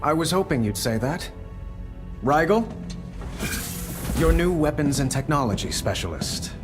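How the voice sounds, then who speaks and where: An actor playing a commanding male military leader in a video game cutscene, authoritative and deliberate. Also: on the high side, very animated, music in the background.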